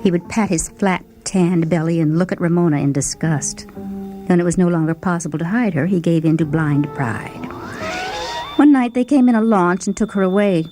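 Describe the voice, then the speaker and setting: A woman narrating a first-person short story in a dramatic, expressive, theatrical manner. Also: lowish voice, moderate pace. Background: music.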